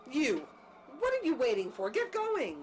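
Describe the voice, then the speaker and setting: A woman's voice: high voice, wildly swooping pitch, unhurried, exaggerated voice. A woman playing an exasperated, defiant unfaithful wife in an audio drama, scolding and indignant. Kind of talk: radio-play style dramatic acting. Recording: faint background noise.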